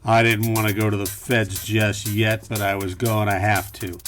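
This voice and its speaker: A man's voice: fairly low pitch, level tone, normal speed, fairly bright tone, soft background music, lightly acted. Hard-boiled, wisecracking private eye, played by a man. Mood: brooding narration. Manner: dry, wry, hard-boiled. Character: wisecracking, world-weary male private eye. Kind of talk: campy noir dialogue and narration.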